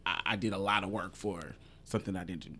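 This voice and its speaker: A man's voice: deep voice, fairly even intonation, fast talker, sharp tone. A host in his forties from Indianapolis who talks in a chatty, emphatic way and swears casually. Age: 40s. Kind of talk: casual interview.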